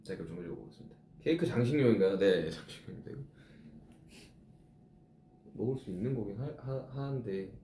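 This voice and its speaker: A man's voice: on the low side, animated, fairly quick, pauses often, warm tone, echoey room, a little hiss or hum. A man in his thirties, a musician chatting casually with fans on a live stream, reflectively explaining how he writes his songs. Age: 30s.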